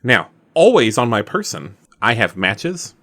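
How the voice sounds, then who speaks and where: A man's voice: medium pitch, sing-song, measured pace, fairly bright tone, no echo, clean studio sound. A man playing a tabletop roleplaying game, chatting casually with joking asides as he says what his character does.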